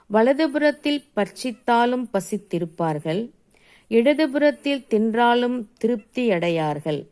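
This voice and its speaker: A woman reading Bible verses aloud solemnly, phrase by phrase, in a measured and deliberate manner. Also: fairly high pitch, a little mellow, smooth. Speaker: scripture reader.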